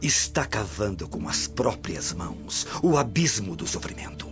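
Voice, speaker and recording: Menacing roman guard boss, brazilian, contemptuous, very animated, muffled audio w music under. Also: male voice, on the low side, measured pace. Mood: stern, accusing. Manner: accusing, formal, contemptuous. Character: stern Roman guard commander, menacing male interrogator. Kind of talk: radio soap acting in Portuguese.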